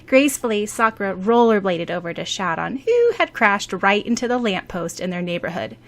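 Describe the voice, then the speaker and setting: A woman's voice: medium pitch, sing-song, a little fast. A woman reading scripted story narration expressively, as an audiobook narrator.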